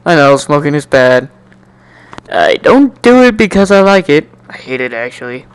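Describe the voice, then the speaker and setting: A man doing an amateur dramatic reading of a first-person story in an animated, jokey way, now and then stumbling and repeating words. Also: fairly high pitch, normal speed, smooth. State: coughing, slightly sick. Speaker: amateur fan fiction reader.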